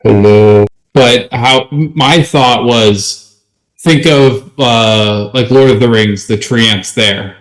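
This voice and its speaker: A man's voice: medium pitch, takes it slowly, rough recording. A man co-hosting a podcast, chatting casually about tabletop gaming. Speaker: podcast co-host.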